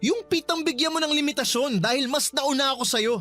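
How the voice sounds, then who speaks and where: A jealous young best friend who will not let go. The voice is high, demanding and argumentative, played with the raw emotion of radio drama. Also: female voice, fairly quick, a bit bright, soft background music. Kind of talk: Tagalog radio drama acting.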